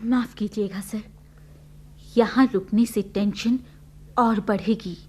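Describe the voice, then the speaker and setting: A woman's voice: medium pitch, steady tone, takes it slowly, mellow. A woman acting in a radio play as an idealistic admirer, speaking in an earnest, emphatic dramatic way. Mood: hurt, subdued. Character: idealistic, earnest female admirer of a film celebrity.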